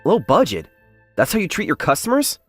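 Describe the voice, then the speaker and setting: A man's voice: high voice, wildly swooping pitch, a little fast, soft background music, heavily acted. A man playing a modest, plain-spoken young factory worker who narrates his own story in a manga dub. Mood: indignant. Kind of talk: English manga dub acting and first-person narration.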